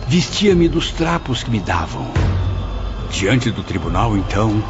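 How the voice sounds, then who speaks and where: A man's voice: on the low side, sing-song, unhurried. A man playing a bitter, wretched sinner, confessing formally and dramatically in an audiobook. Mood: bitter confession. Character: bitter, wretched male sinner confessing his evil past. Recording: muffled, under loud music.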